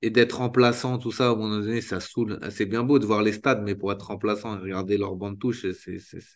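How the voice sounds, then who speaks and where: A man in his thirties, a former footballer, talking candidly in an interview over a video call, restarting sentences and filling pauses often. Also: on the low side, level tone. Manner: spontaneous, halting, many restarts and fillers. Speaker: retired footballer guest. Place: small room indoors. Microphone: video call webcam.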